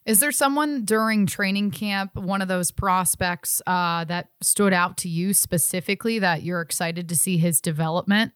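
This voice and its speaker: A woman's voice: lowish voice, moderate pace, hardly any pauses, fairly bright tone, clear, resonant tone, no echo, studio quality. A woman hosting a sports podcast, asking interview questions in a conversational style.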